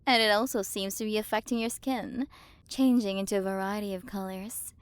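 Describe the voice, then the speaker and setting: A woman's voice: medium pitch, fairly even intonation, fairly quick, clear, resonant tone, no echo, lightly acted. Casting: female performer as an imperious alien queen-scientist for ASMR roleplay; mid-range voice, commanding and teasingly superior, intimate dry recording. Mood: curious, pleased. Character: haughty alien galaxy queen, possessive scientist captor.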